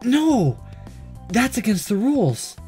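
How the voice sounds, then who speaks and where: Game player putting on an anxious teen girl, higher voice, defensive and halting, music behind. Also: very animated, warm tone. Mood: indignant. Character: anxious, defensive high school girl in swim club.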